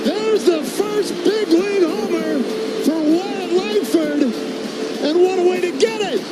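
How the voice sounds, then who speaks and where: Very high excited man calling baseball over music. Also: slow pace, low-quality recording. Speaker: baseball play-by-play announcer. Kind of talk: excited home-run call. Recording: broadcast clip under loud music. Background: loud music.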